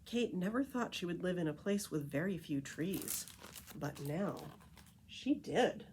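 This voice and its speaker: Woman reading expressively, lower-pitched, swooping intonation. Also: lively intonation, a little slow, a little mellow, a little hiss or hum. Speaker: librarian reading a picture book aloud. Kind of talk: children's read-aloud storytelling.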